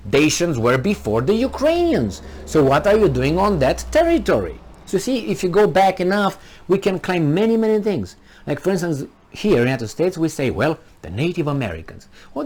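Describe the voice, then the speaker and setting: Romanian guy hosting his own channel, fires off rhetorical questions, voice jumps up and down a lot. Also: fairly high pitch. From Romania (Transylvania). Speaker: commentary channel host. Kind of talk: opinionated political monologue.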